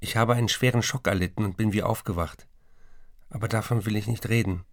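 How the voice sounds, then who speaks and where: An actor playing a troubled, bitter German writer reading out his letters in a measured, formal documentary drama style. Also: fairly low pitch, steady tone, no echo, clean studio sound, lightly acted. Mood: subdued.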